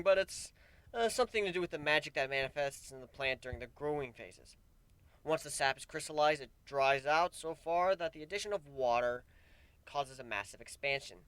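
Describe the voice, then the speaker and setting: A man's voice: a little high, fairly bright tone, clear, resonant tone, no echo, voice pushed up. A man voicing a weary, wry male pegasus who grows plants, in a dramatic fan fiction reading.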